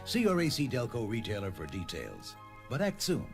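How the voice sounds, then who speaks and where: Male commercial announcer, very wide swooping intonation, mid pitch, music clearly behind. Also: wildly swooping pitch, normal speed. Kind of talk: scripted radio ad voice-over. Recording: 1980s radio ad over music.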